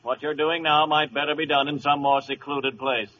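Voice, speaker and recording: A man's voice: a little high, little pitch movement, moderate pace, lo-fi sound, close to an everyday voice. Man playing a stern judge on noisy old radio. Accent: American. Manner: formal, commanding pronouncements. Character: stern, formal male courtroom judge. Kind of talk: 1940s radio comedy acting. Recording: very noisy, thin 1940s radio recording.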